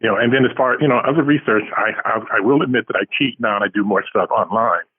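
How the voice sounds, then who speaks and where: A man's voice: fairly low pitch, fast, breathy edge. An older man answering interview questions casually as a guest author, over a tinny phone-like line.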